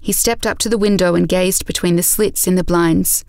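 A woman narrating an audio drama from a script in a measured storytelling manner. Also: fairly low pitch, fairly even intonation, gravelly, a little mellow, no echo.

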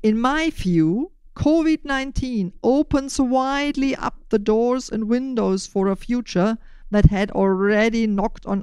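Older German-accented woman, clean smooth voice. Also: medium pitch, a little mellow, dry recording. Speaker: podcast host and initiator. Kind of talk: prepared, scripted-sounding podcast interview answers.

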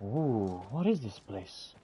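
A man giving expressive, exclamatory in-character gameplay commentary, thinking aloud as he roleplays. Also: fairly low pitch, takes it slowly, a little hiss or hum. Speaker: gamer roleplaying as a character in a playthrough.

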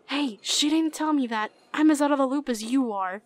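A woman voicing a meek, kindly young woman in a cartoon dub, gentle, hesitant and apologetic. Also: sits high, moderate pace, no room sound, lightly acted. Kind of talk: animated web comic dub acting.

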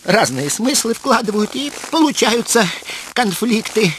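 A man voicing an earnest, philosophizing animal dreamer in a children's cartoon, with lofty, pleading, drawn-out phrasing. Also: very high voice, very animated, fairly bright tone, slight background noise, exaggerated voice. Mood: dreamy, preaching.

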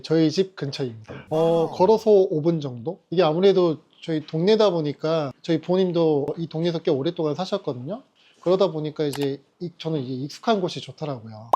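A man in his forties from Jeju, a local dentist giving relaxed, chatty interview answers with frequent soft fillers. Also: on the high side, slight background noise. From Jeju, South Korea.

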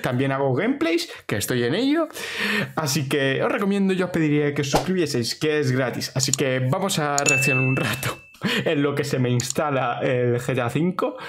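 A man's voice: medium pitch, lively intonation, moderate pace, noisy background. A young man reacting casually to a video over a headset, with dry asides. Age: young adult. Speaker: reaction YouTuber. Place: home bedroom, indoors.

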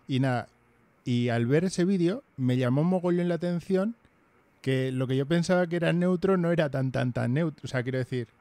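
Male host in his thirties, halting and hesitant, close mic, small home room. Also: a little high, dark tone, no echo. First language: Basque. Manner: hesitant, many fillers and restarts. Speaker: podcast host. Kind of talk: casual video-call chat. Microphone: headphones and studio mic.

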